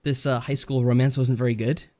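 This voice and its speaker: A man's voice: medium pitch, flat delivery, fast, dark tone, no echo. A man co-hosting a podcast, chatting casually with dry sarcasm. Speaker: podcast co-host.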